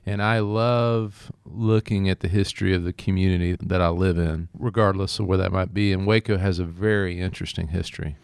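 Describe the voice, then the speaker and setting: A middle-aged man from East Texas with an American accent, explaining things clearly as a history professor co-hosting a conversational podcast. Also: deep voice, dry recording, clean studio sound. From East Texas, United States.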